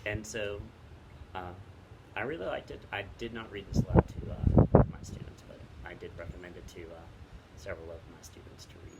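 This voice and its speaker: A middle-aged man with a lowish voice talks casually about books as a teacher would. He pauses often mid-sentence, and wind blows clearly over the outdoor recording. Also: animated. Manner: halting, pausing mid-sentence. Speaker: teacher and read-aloud co-host. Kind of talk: casual book recommendations and reading aloud. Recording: wind noise on the microphone.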